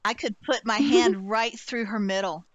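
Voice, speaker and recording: A woman's voice: mid-range voice, fairly even intonation, moderate pace, over a noisy crowd. A woman player chatting about a tabletop game, laughing often and repeating herself for emphasis.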